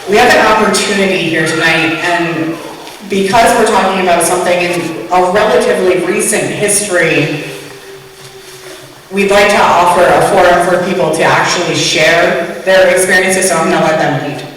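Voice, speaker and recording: A host introducing speakers at a community event in a brisk, organized way. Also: medium pitch, a bit bright. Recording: echoey hall, distant mic, background noise.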